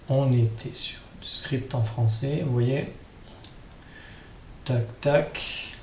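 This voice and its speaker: A man's voice: mid-range voice, vocal fry, darkish tone. A man hosting a casual screen tutorial, improvising and thinking aloud with frequent tag questions. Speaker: tutorial host. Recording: roomy echo, faint background noise.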